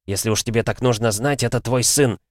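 A man's voice: medium pitch, a little fast, no room sound, clean studio sound, close to an everyday voice. A man voicing a confused, reproachful dead father scolding his son in an audiobook. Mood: stern.

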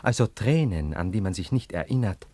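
A brooding lover in a radio drama, with a deep voice caught close and dry. He is jealous and poetic, forever probing and reproaching with a melancholy edge. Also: lively intonation, a little fast, a little mellow, dry recording. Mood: accusing. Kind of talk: German radio play acting.

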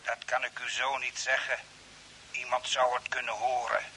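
A secretive, cryptic stranger calls over space radio in an old radio drama. The voice is extremely sharp and bright through a radio filter, measured and guarded, at a medium pitch. Faint hiss sits behind it. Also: male voice, level tone, rough recording. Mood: secretive, warning. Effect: radio transmission filter.

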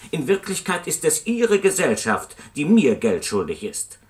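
An actor playing an aggrieved customer in a radio play, dictating complaint letters with formal, pointed politeness. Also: male voice, a little high, huge pitch swings, measured pace. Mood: irritated, indignant.

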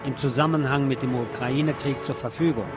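A man narrating a scripted news report as a reader, with loud music behind him. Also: mid-range voice, steady tone, fairly quick.

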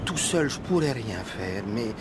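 An actor playing an eager, deferential village police sergeant who stammers and pleads, in a comic French-language radio play from the 1960s. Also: male voice, medium pitch, unhurried. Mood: eager pleading. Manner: stammering, excitable, obsequious. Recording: noisy old radio recording.

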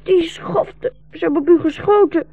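A woman's voice: very high voice, moderate pace, no room sound. A woman playing a young boy, anxious, devoted and trusting, with a childlike pleading manner in a 1960s radio drama. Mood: alarmed, caring. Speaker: radio play actor. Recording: muffled 1960s radio broadcast recording.

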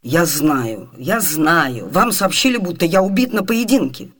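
Radio play role, actress: cool, haughty young gentleman in disguise, formal diction, expressive melodic swings. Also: fairly low pitch, unhurried. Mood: poised, assured. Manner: formal, poised, imperious. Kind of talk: theatrical radio play acting.

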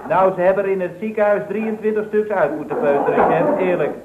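A man's voice: sits high, quick pace, over a noisy crowd, natural voice. An actor playing an indignant, ranting employee who calls his boss "chef" at every turn, in a 1960s radio comedy. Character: indignant male employee, fitness fanatic, ranting leftist. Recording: echoey, tinny old radio broadcast with faint hiss.

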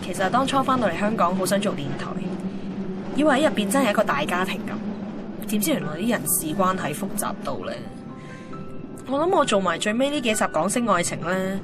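A young Hong Kong woman played by an actress in a radio drama, teasing one moment and wistful the next. Her voice sits at a middle pitch and is acted naturally, with music clearly behind it. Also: expressive, brisk, natural voice. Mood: wistful, candid.

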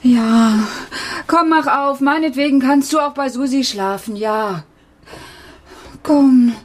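Slightly husky, anxious middle-aged woman. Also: high voice, slow pace. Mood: distraught, pleading. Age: 40s. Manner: hesitant, flustered, emotional. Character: anxious forty-year-old female teacher and single mother. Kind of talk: naturalistic radio crime drama acting.

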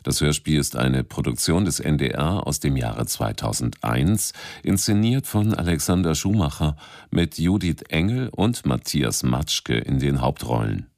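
A man reading a scripted radio drama introduction in a measured, formal announcer's manner. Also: extremely low pitch, animated, a little mellow, dry recording, studio quality. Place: dry studio.

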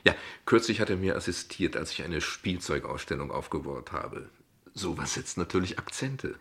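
A man playing an evasive, ironic father in a radio play, speaking wordily and with hesitations, in a husky voice. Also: low-pitched, expressive, normal speed, a bit bright, full character voice. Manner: wordy, sardonic, deflecting, with hesitations. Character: evasive, ironic, verbose father defending his unconventional family. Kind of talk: dialogue radio play acting in standard German.

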